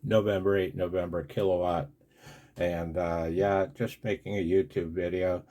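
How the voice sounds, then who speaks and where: An older man from the United States in a casual, unscripted vlog as a radio operator, blunt and wry with a gravelly, husky voice. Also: low-pitched, little pitch movement, normal speed, mellow, a little hiss or hum. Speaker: amateur radio operator and video host. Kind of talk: casual, unscripted video commentary.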